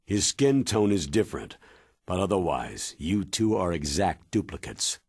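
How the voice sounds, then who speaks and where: Deep, husky military commander. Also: male voice, very animated, a little mellow, dry recording, studio quality, exaggerated voice. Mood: serious, confiding. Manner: deliberate, dramatic, emphatic. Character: grave, authoritative older military commander giving briefing. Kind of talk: video game cutscene acting.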